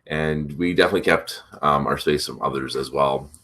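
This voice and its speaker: A man hosting a casual morning chat, rambling with many fillers, his voice congested and a bit rough from allergies. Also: rumbling low, fairly even intonation, brisk, fairly bright tone. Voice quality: congested, slightly rough throat. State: stuffed up from allergies. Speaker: vlog host.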